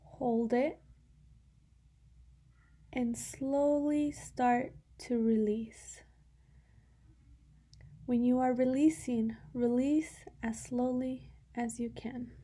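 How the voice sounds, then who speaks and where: Very slow, breathy, soft-voiced woman. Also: on the high side. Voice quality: soft, breathy, often dropping to a whisper. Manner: calm, unhurried instruction with long pauses. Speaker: guided meditation instructor. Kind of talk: slow guided meditation.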